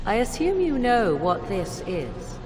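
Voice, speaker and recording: A woman playing a proud, secretive witch in a video game, speaking in formal, archaic phrases with a haughty, measured manner. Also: medium pitch, very animated, a little mellow, soft background music. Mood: wary, cold. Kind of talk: dramatic video game acting. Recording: long hall reverb, clear background noise.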